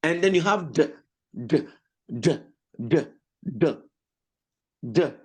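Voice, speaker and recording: A man, a pastor and vocal lecturer, teaching an online voice class over a video call. He has a cold that roughens his voice, explains slowly, repeats his points and breaks into sung examples. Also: fairly high pitch, animated, darkish tone. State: has a cold, voice slightly lost.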